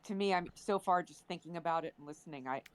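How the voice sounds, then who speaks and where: Low-voiced, inquisitive woman chatting. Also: quick pace. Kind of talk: casual chat.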